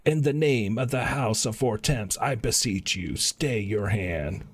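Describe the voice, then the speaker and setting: A man live-streaming a video game, reading quest dialogue aloud in a halting way and stumbling over words. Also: fairly low pitch, breathy edge, dry recording.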